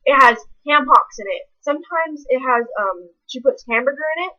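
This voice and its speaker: A woman's voice: high voice, animated, normal speed, pushed and bright, lo-fi sound. A young woman, ranting casually to camera in a blunt, rambling way full of swearing. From American South. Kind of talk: casual vlog rant.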